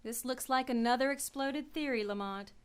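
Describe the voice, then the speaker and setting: Margot Lane is a faithful, anxious assistant, as a woman voices her in an old-time radio drama. Her fairly high voice sounds cautious and worried, often pleading with her partner. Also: normal speed, clean tone, close to an everyday voice. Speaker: radio actress.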